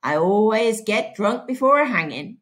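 A woman's voice: medium pitch, lively intonation, a bit bright, natural voice. A woman doing a drunk drawling sheriff. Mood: leering drawl. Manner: slow drawl. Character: drunk leering small-town male sheriff.

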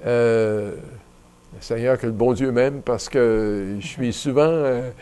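A man in his seventies with a Canadian French accent, a retiring bishop being interviewed, who talks in a relaxed, reflective way with many fillers and restarts. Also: medium pitch, slow, clear, resonant tone. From Ottawa, Canada. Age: 70s. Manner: reflective and rambling, many fillers and restarts, gestures while talking. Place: small bright indoor studio at a table.

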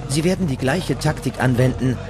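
A man's voice: medium pitch, normal speed. A man reading scripted documentary narration as the narrator. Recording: music bed behind the voice. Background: music.

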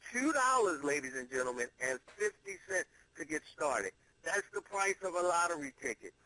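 A man giving a promotional conference-call sales pitch, emphatic and salesman-like, with deliberate pauses for effect. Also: pushed and bright, dry recording, rough recording. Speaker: presenter pitching an online money-making program.

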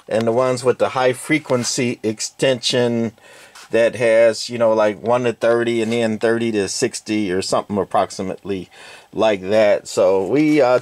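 A man casually walking through vintage radio gear in a workshop, rambling with lots of fillers and hedges. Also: mid-range voice. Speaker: radio collector. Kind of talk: casual hands-on walkthrough. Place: cluttered workshop with shelving.

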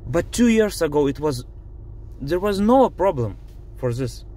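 Slow, halting man with a Lithuanian accent. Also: fairly high pitch, very animated, dark tone. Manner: frustrated, halting, many fillers. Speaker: driver demonstrating a car app. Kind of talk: casual complaint video. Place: inside a parked car.

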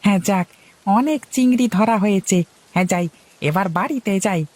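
A woman's voice: medium pitch, moderate pace, a little mellow, lightly acted. A woman voicing a cheerful, eager young village husband and dutiful son in a cartoon, chatty and full of exclamations. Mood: pleased, hungry. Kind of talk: cartoon voice acting.

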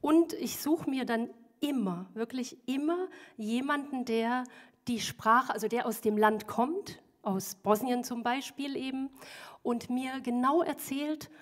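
A middle-aged woman with a fairly high voice talks into a handheld mic on a small concert stage. She speaks earnestly and animatedly, as a singer sharing her thoughts between songs. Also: measured pace. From Germany, Hungarian father. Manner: earnest, animated, gestures while talking. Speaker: band singer. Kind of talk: on-stage interview chat. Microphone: handheld microphone.

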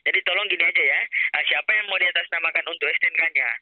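A man's voice: fairly high pitch, fast, a little hiss or hum. Man on a tinny phone line. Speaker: phone caller claiming to be a prize official. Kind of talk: persuasive phone pitch. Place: on a phone call. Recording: narrow, dull phone line.

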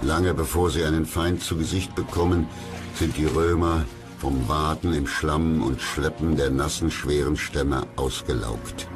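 A man's voice: extremely low pitch, fairly even intonation, measured pace. A man reading scripted documentary narration as the narrator. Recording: loud music under the voice. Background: loud background music.

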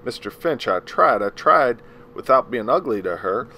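A man's voice: mid-range voice, sing-song, normal speed, a little hiss or hum. A man reading a humble frightened farmhand. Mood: pleading. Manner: polite, hesitant, soft-spoken. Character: humble frightened male farmhand on the witness stand. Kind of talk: audiobook character reading.

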